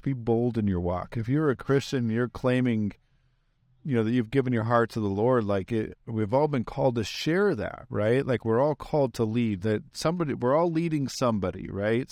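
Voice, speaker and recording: A man's voice: mid-range voice, a little mellow, no echo, clean studio sound. A man hosting a faith podcast, asking questions and urging listeners in an encouraging, preaching manner. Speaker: podcast host. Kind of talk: casual podcast interview.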